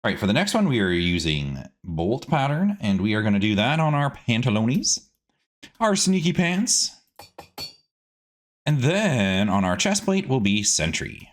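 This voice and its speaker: A man's voice: mid-range voice, big pitch swings, unhurried, slight background noise. A man hosting a casual gaming video, chatting to himself playfully as he plays, with silly words and sing-song asides. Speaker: gaming host. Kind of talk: casual game commentary, let's play.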